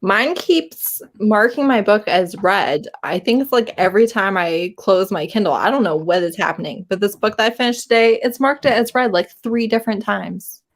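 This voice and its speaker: A woman's voice: medium pitch, moderate pace, few pauses. A woman hosting a casual live group chat about books, with a blunt, playfully teasing manner. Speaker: host of a live readalong.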